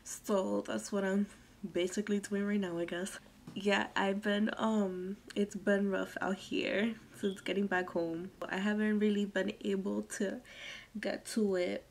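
A young woman vlogging from her bedroom, rambling casually with lots of fillers and soft trailing endings, into a close phone camera. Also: medium pitch, flat delivery, a little slow, gravelly, slight background noise. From Massachusetts. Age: young adult. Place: bedroom, indoors. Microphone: phone or webcam at arm's length.

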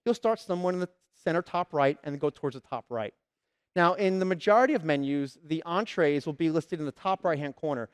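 A man's voice: fairly high pitch, lively intonation, fairly quick, smooth. A man in his thirties giving a conference keynote on a large stage through a headset mic, telling stories and acting out customers and salespeople. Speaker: keynote speaker.

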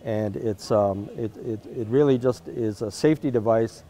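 A man's voice: lowish voice, normal speed, dark tone. A man in his fifties demonstrating equipment in an unscripted, explanatory way with frequent hesitations. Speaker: product marketer demonstrating equipment. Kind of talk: unscripted product demonstration and interview answers. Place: indoor trade event interview spot.

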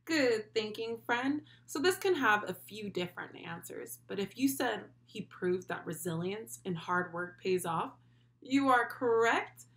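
A woman's voice: medium pitch, a little slow. Young adult woman, children's educator, mid-range voice, upbeat encouragement, direct address. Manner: encouraging, animated praise. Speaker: children's read-along host and school speech therapist. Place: small furnished room at home, indoors.

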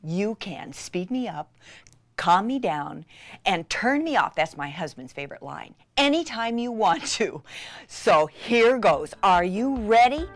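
A middle-aged woman presenting a chatty craft tutorial in an excitable, gushing manner with dramatic emphasis. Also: mid-range voice, a little slow, dry recording.

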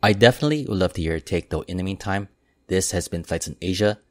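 A man with a deep, low voice reads aviation news in a steady, measured way. The recording is dry and close, with no room sound around him. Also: dry recording. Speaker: aviation news channel host. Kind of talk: scripted news narration. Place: voice-over, not recorded in the pictured airport.

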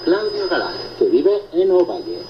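Lively male radio host on noisy shortwave. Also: on the high side, moderate pace. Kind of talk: reading and answering listener reports, conversational radio presenting. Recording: noisy shortwave radio reception, muffled, echoey. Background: loud static noise.

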